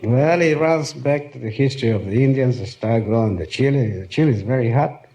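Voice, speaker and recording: A man's voice: mid-range voice, brisk, mellow. A man with a Mexican accent, a native Spanish speaker, giving short answers as a 1950s radio quiz contestant. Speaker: quiz show contestant, restaurant worker.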